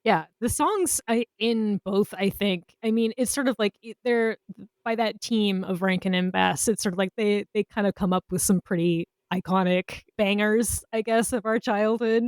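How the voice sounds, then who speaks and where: A woman's voice: medium pitch, moderate pace, dry recording. A woman co-hosting a podcast in casual panel chat, gushing with enthusiasm about what she likes. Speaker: podcast co-host.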